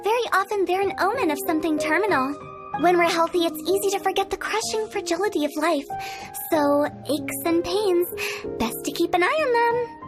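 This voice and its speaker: Cheeky morbid young funeral director. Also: female voice, extremely high pitch, normal speed, over music. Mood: teasing. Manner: playful, teasing, breezy. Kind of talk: game cutscene voice acting. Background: game score.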